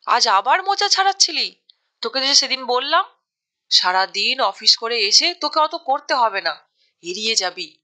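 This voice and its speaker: A woman's voice: on the high side, big pitch swings, moderate pace, pushed and bright. A woman playing a fretful, meddling middle-aged mother in a cartoon story, probing and nagging as she talks. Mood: probing, disapproving. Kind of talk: Bengali cartoon story acting.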